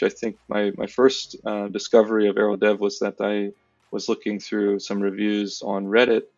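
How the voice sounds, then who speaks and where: A man's voice: fairly low pitch, creaky, a little mellow, no room sound, lo-fi sound. An American man in his thirties giving an easygoing testimonial interview, hesitant and full of fillers. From United States. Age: 30s. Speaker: former bootcamp student.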